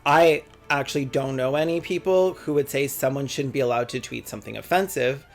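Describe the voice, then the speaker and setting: A man's voice: medium pitch, fairly quick, vocal fry, soft background music. A young man delivering a scripted video essay, reading quotes and dropping sarcastic, profane jokes. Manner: sardonic, profane asides.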